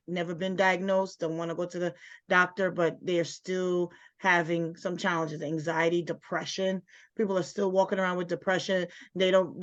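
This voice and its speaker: A woman hosting an interview who reacts with encouragement and asks loose, rambling questions in casual talk. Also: on the low side. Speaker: interview host. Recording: slightly dull, lo-fi sound.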